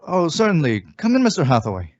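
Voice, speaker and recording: An actor playing a well-meaning, befuddled husband and office worker in an old radio comedy. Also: male voice, a little high, huge pitch swings, quick pace, a little mellow. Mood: flustered, vague. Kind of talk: 1950s radio sitcom acting. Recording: dull vintage radio recording.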